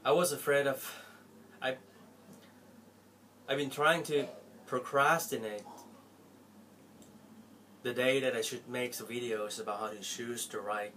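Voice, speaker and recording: A man's voice: mid-range voice, slight background noise. Earnest Thai man talking to camera. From Thailand. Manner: heartfelt, pleading, expressive swings of loudness. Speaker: Apple store salesperson and tech vlogger. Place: small cluttered bedroom indoors.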